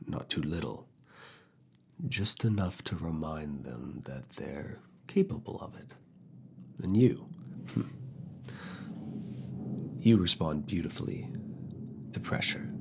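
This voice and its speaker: Actor as male university professor, ASMR roleplay: husky, breathy, quietly seductive and exacting, slow delivery with swooping intonation and whispers. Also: full character voice. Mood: soothing, teasing. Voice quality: husky, breathy, low gravelly voice. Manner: slow, deliberate, pauses between short phrases, slipping into whispers. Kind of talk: ASMR roleplay, soft intimate one-to-one talk.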